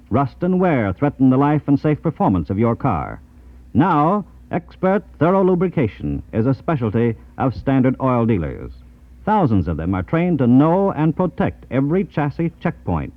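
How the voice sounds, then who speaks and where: An American man reading scripted news and sponsor messages in a formal, measured 1950s radio announcer style. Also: medium pitch, big pitch swings, dry recording. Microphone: dry radio studio microphone. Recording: tinny, band-limited 1950s radio tape.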